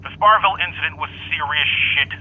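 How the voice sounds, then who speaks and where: An actor playing a gruff, weary big-city police detective with a blunt, businesslike manner on a phone call. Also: male voice, a little high, fast, slight background noise, lightly acted. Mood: curt.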